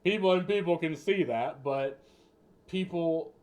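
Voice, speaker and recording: Slow-talking man ranting casually. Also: a little high, a little mellow. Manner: rambling, swears often, restarts mid-sentence. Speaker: streamer reacting to news clips. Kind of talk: casual political commentary.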